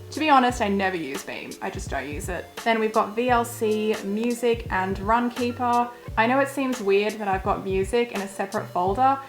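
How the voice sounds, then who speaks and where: A young woman, chatting casually through a vlog in a croaky voice that is wearing thin, with plenty of filler words. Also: medium pitch. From Australia. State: croaky, losing her voice. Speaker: vlogger. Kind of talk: casual walkthrough.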